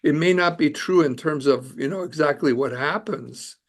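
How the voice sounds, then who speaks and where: A man's voice: a little high, moderate pace. An older Canadian-born man, an immigration lawyer and author, talking earnestly as a podcast guest with many fillers and restarts. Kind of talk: casual interview conversation.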